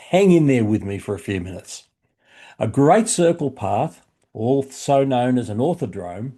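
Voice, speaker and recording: Australian male presenter, mellow and slightly rough-voiced, mid pitch, instructive scripted narration. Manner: instructive, uses rhetorical questions. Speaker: presenter of a shortwave radio listening channel.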